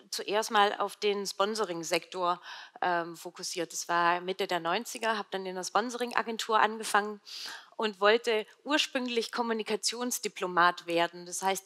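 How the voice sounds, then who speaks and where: A middle-aged woman speaks as a guest on a stage panel. Her voice is medium in pitch with a crisp, bright edge and a slight Swabian accent. The delivery is conversational, picked up by a headset microphone. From Stuttgart area, southern Germany. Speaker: panel guest, company founder. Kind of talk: conversational panel answer about career. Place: indoor event stage.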